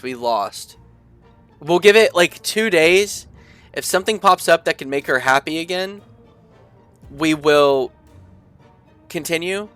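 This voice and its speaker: A young man with a stuffy nose chatting casually through a gameplay session, reading the game's text aloud and thinking out loud with lots of fillers. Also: on the high side, smooth. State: stuffy from allergies. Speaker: gaming video creator. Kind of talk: casual let's-play commentary, reading game text aloud.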